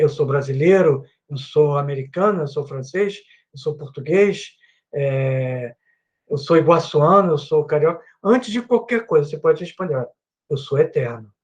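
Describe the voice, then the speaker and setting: Brazilian guy teaching sunday school over a computer mic, high voice, earnest, lots of little tag questions. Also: moderate pace, darkish tone, dull audio. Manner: earnest, repeats himself with many "né" tags. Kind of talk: Bible class teaching. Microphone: microphone with headphones at a computer.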